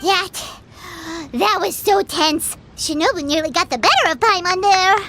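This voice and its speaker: A woman voicing a tiny, feisty, childlike fairy companion in a video game, squeaky and emphatic. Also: a little slow, fairly bright tone, slight background noise, heavily acted. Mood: smug, relieved. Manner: emphatic, refers to self in third person. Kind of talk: animated video game voice acting.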